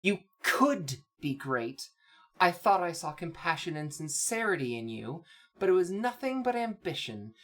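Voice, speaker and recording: Woman playing a low-voiced, stern alicorn princess. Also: big pitch swings. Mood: disappointed. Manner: measured, reproachful, lecturing. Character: stern, regal adult female alicorn princess, disappointed mentor.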